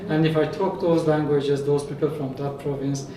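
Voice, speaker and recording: A man from Iran whose first language is Azerbaijani Turkish, a professor answering interview questions in a measured way with frequent fillers. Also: a little high, level tone, a crowd in the background. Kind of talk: conversational interview. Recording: echoey room, mic at a distance, faint noise.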